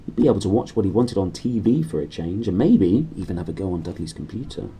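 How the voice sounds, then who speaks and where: Male British storybook reader, lowish mellow voice, animated delivery. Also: fast talker, breathy edge. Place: small room indoors.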